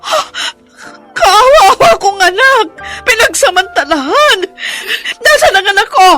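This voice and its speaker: A woman's voice: very high-pitched, big pitch swings, unhurried, sharp tone, faint music behind, full character voice. An actress playing an anxious, heartbroken mother on the phone in a Filipino radio drama, tearful and wailing.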